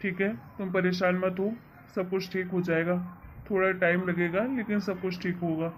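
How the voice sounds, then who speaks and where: A woman voicing a calm, reassuring working husband and elder brother in a family story. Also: lowish voice, normal speed, a little hiss or hum, lightly acted. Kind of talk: acted dialogue in Hindi family story.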